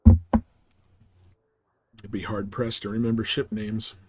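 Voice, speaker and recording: A man's voice: on the low side, little pitch movement, takes it slowly, noisy background. A man streaming a game, chatting casually and thinking aloud in a conversational way. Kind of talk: casual chat while playing a space game.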